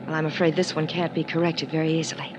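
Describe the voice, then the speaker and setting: A woman's voice: sits low, flat delivery, fast talker, noisy background, lightly acted. Troubled, polite woman of vintage radio drama, acted. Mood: guarded, polite. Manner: soft-spoken, hesitant, politely formal. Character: sad, troubled, polite woman, guarded and grateful. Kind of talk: old-time radio drama acting.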